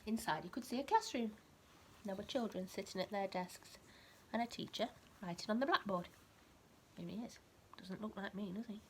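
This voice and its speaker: A woman with a mid-pitched voice reads a children's story in an expressive, teacherly way. She speaks directly to a small child and keeps her wording simple. There is clear ambient noise behind her. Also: moderate pace, pauses often. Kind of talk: animated storybook read-aloud with asides explaining words.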